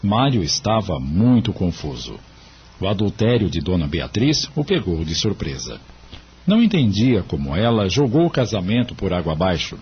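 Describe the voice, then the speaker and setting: A man's voice: low voice, very animated, normal speed. A Brazilian man narrating a scripted audio drama story. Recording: muffled audio with background noise.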